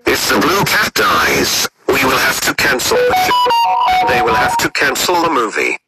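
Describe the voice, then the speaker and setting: A man's voice: mid-range voice, huge pitch swings, measured pace. A man playing a concerned official who discusses disturbing evidence in an amateur scripted skit. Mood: worried. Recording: very noisy playback.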